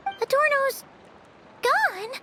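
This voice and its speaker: Squeaky, very high childlike fairy sidekick. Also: female voice, sing-song, drawn-out words, sharp tone, a little hiss or hum, full character voice. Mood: concerned, curious. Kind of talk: video game voice acting.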